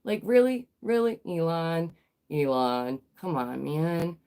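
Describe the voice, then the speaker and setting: A woman's voice: low voice, sing-song, takes it slowly, dark tone. Stuffy, nasal woman livestreamer. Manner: emphatic, repeats phrases, fires rhetorical questions. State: stuffy, recovering from a cold. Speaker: livestream host. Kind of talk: live news commentary.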